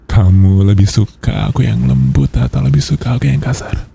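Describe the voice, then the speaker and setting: A man playing a possessive, menacing young boss boyfriend in an intimate ASMR roleplay, murmuring with coaxing, controlling softness. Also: mid-range voice, exaggerated voice. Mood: teasing, possessive. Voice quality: breathy, husky murmur. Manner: slow, coaxing, controlling, with soft threats.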